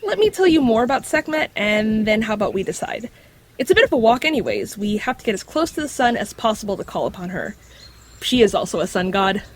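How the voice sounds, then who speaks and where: A woman's voice: fairly high pitch, big pitch swings, brisk. A woman playing a clever talking dog who explains myths and reassures friends in an audio drama. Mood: explaining. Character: clever talking dog companion, confident guide on mythology. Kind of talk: acted audio drama dialogue.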